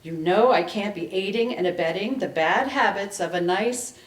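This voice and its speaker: A stiff young small-town policeman, voiced by a woman at a story reading, speaks up formally and officiously. The room rings with a clear echo. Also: mid-range voice, normal speed, recorded from a distance, close to an everyday voice. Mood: stern, official. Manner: stiff, formal ticket-writing voice. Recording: echoey room, public event recording.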